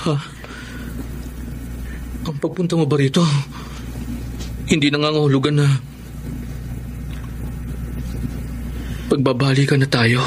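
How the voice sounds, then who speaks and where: An actor playing a lovelorn young man, wistful and yielding, speaking tenderly and haltingly in a radio drama. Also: a little high, fairly even intonation, background noise, close to an everyday voice. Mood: longing, hurt. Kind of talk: Tagalog radio drama acting. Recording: loud dramatic music behind the voice.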